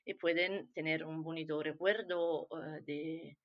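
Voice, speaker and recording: A woman's voice: sits low, fairly even intonation, a little slow, highs cut off. A woman from Italy with an Italian accent, a clarinet teacher answering interview questions in Spanish, hesitant with many fillers and restarts.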